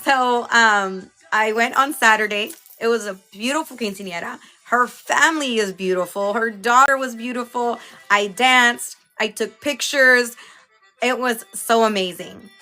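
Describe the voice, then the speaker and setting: A chatty woman hosts a casual livestream, her voice bright and forward and a bit stuffy from a cold. She greets viewers one by one and keeps plugging the show. Faint music sits behind her. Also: fairly high pitch, a little slow, low-quality recording. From Houston, Texas. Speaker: livestream host.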